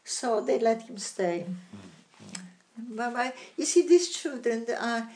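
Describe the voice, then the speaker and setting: An older woman with a Polish accent, a retired teacher telling stories in an interview, lively and halting with many restarts. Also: on the high side. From Poland. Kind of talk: interview storytelling.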